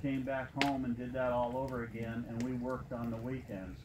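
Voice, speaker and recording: A man in his late fifties giving an informal personal testimonial to an audience in a halting, plain-spoken way with frequent pauses. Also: mid-range voice, flat delivery. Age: late 50s. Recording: noisy live room recording.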